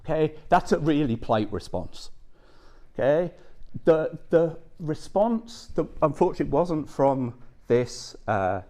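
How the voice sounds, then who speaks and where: A man's voice: a little high, big pitch swings, a little slow, dark tone. Man giving a chatty academic talk. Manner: conversational, checks in with frequent "okay" and "yeah". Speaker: academic presenter. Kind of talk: conference talk.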